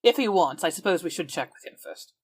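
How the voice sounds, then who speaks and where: A woman's voice: fairly low pitch, animated, fast, husky, no room sound, clean studio sound. A woman improvising in-character conversation as a tabletop game player, polite and appreciative.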